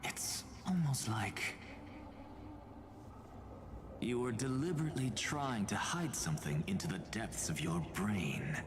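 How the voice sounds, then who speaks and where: An actress voicing a sly, menacing mind-reader in a video game, teasing and drawing out words, sometimes almost whispering. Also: female voice, extremely low pitch, unhurried, pauses often, noisy background. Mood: coolly teasing. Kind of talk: dramatic video game voice acting. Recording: game music behind the voice.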